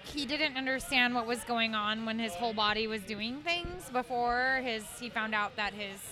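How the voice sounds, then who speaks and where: A woman's voice: a little high, moderate pace. A woman co-hosting a casual chat, earnestly explaining a point. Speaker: podcast co-host.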